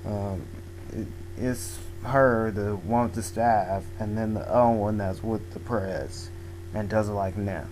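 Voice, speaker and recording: Young male vlogger, voice going hoarse, slow rambling delivery, home mic with a faint hum. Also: lowish voice, fairly even intonation, a little mellow, low-quality recording. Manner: rambling, filler-heavy, restarts often. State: losing his voice. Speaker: manga video blogger. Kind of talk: casual spoken manga review. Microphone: home microphone.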